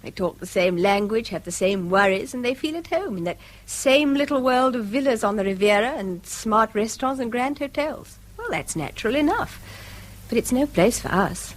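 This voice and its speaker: An actress playing a weary, self-deprecating middle-aged English wife in a radio drama, with a dry, exclamatory delivery. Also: female voice, a little high, expressive, moderate pace, low-quality recording. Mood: cautious, mocking. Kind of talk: British radio drama acting.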